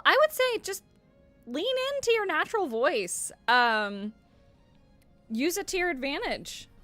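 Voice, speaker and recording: A young woman streamer and voice actor chatting casually with viewers in an animated way, swearing freely. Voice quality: mid to low voice. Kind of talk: casual stream chat answering viewer questions.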